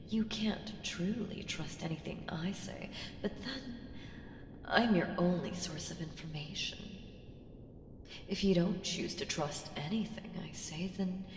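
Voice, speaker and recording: A woman's voice: on the low side, slow, long pauses, a little mellow. A woman voicing an ancient evil entity in an ASMR roleplay, seductive, sly and taunting, with ominous teasing and whispered phrases. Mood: mocking, sly. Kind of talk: ASMR roleplay audio drama. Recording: reverberant hall effect, faint noise.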